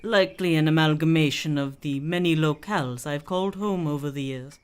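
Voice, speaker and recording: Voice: mid-range voice, clean tone, close to an everyday voice. An actor as a mysterious, worldly swami. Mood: polite, guarded. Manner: measured, cryptic, formal diction. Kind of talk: radio play acting.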